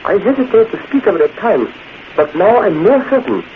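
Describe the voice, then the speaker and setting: A man's voice: high-pitched, big pitch swings, a little fast, narrow, tinny audio. An actor playing a loyal, polite male valet and driver who speaks broken, formal English, in 1940s radio acting. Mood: deferential. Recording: thin hissy 1940s radio broadcast.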